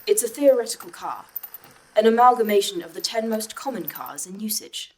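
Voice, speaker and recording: A woman playing a literal-minded, obliging artificial intelligence in an audio drama, formal and measured. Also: mid-range voice, expressive, darkish tone, natural voice, low-quality recording. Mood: composed, precise. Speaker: voice actor in a fiction podcast.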